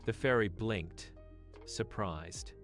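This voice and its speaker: A woman's voice: rumbling low, slow pace, faint music behind. A woman narrating a scripted bedtime story for children.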